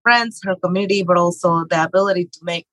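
A woman's voice: fairly low pitch, quick pace, a bit bright, no room sound. A middle-aged woman from Mexico, a real estate investor and author, telling her story as a podcast guest with many fillers and restarts. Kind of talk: conversational interview.

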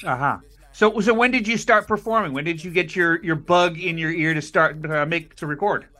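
High, bright-voiced enthusiastic podcast host. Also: male voice, moderate pace. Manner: enthusiastic, stammers and repeats words. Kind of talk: upbeat interview hosting.

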